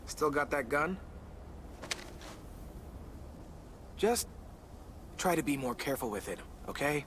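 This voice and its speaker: A man's voice: fairly high pitch, animated, moderate pace, a bit bright, a little hiss or hum. Subdued teenage boy. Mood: subdued concern. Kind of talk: naturalistic video game drama acting.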